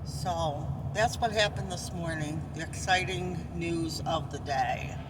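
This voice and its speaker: A woman vlogger from Upstate New York, chatting casually while riding in a car, who says she has a strong, loud voice. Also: on the low side, slow, far from the mic, very noisy. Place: inside a moving car.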